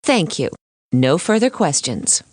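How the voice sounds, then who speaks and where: A woman voicing a sensible but anxious cartoon lawyer with a measured, teacherly manner. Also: low voice, big pitch swings, no room sound, studio quality, full character voice. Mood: cautious. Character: sensible, anxious female lawyer mentoring a boy. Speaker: lawyer character. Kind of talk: animated courtroom cartoon voice acting.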